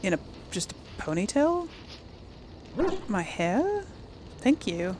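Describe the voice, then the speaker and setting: Slow-paced woman doing casual game commentary. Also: medium pitch, very animated, very noisy. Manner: chatty, addresses viewers, giggly asides. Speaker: gaming video host. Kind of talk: casual let's play commentary.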